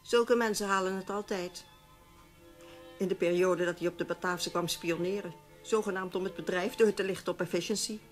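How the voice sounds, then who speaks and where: An actress playing a shrewd, frank former newspaper secretary who gives knowing advice in a radio drama. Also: female voice, on the low side, fairly even intonation, moderate pace, faint music behind, lightly acted. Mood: indignant. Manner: knowing, advisory. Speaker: actor.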